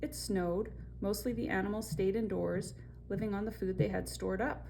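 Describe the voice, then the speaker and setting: A woman hosting a children's story time, reading and chatting in an expressive, conversational way straight to young viewers. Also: mid-range voice, moderate pace, vocal fry, slight background noise. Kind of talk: picture-book read-aloud with chatty asides.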